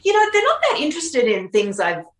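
A middle-aged woman from Australia with a mild Australian accent, softened toward American, chatting casually as a guest in an interview. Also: high voice, fairly quick, reverberant. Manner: chatty, animated, many fillers and restarts. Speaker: actor and podcast guest.

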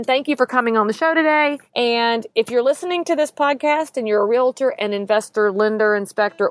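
A woman's voice: mid-range voice, dry recording. Upbeat woman podcast host. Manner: upbeat, encouraging. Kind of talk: podcast interview and outro.

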